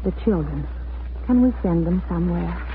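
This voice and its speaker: A woman's voice: lowish voice, expressive, normal speed. An actress playing a worried but steadfast middle-aged wife and mother in a 1940s radio drama. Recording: tinny, noisy 1940s radio recording.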